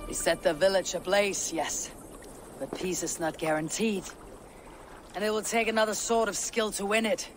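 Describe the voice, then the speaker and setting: Brief: male actor as steady Viking chief, slightly high, firm and level, faint outdoor hiss. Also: moderate pace, lightly acted. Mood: resolute. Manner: firm, composed, commanding. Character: steady Viking clan leader, calm and resolute. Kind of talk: video game cutscene acting.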